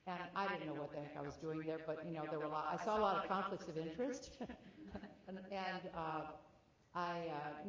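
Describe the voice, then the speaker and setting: Older woman, award recipient, reminiscing, lower register, dull lo-fi echoey room sound. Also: a little hiss or hum. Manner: heartfelt, reminiscing, with restarts and fillers. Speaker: award recipient giving speech. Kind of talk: acceptance speech. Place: event hall. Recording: echoey hall, dull lo-fi audio.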